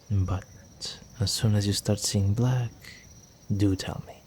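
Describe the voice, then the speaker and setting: Low, slow, seductive vampire gentleman, acted by a man. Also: slight background noise. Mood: tender, menacing. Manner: seductive, courtly, teasing, with breathy murmurs. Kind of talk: intimate roleplay audio drama.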